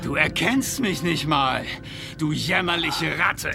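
A man's voice: a little high, creaky. A man playing a taunting, falsely polite Western villain with a gun in a German radio play, drawing out his mockery. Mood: gloating menace. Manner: mocking, drawn-out, falsely polite. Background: music.

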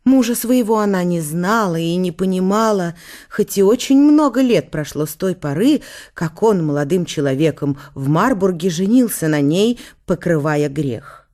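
A woman's voice: lowish voice, expressive, normal speed, mellow. A woman giving a scripted literary narration in a radio drama.

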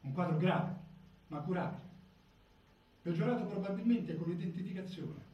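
An actor playing a grave defense lawyer, delivering a formal, clinical theatrical monologue. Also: male voice, high-pitched, moderate pace, close to an everyday voice. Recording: echoey, distant, dull stage recording, faint noise.

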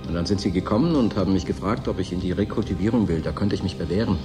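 An actor playing a moody, suspicious station worker in a radio drama, brooding, probing and intense. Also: male voice, deep voice, rapid-fire, over music, natural voice. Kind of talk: German radio drama acting.

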